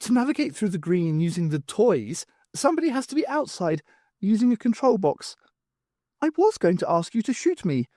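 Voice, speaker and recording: Man putting on a high-voiced ancient alien poet. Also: big pitch swings, moderate pace, mellow, no room sound, clean studio sound. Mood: regretful. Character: ancient male alien poet, wistful rebel, last survivor.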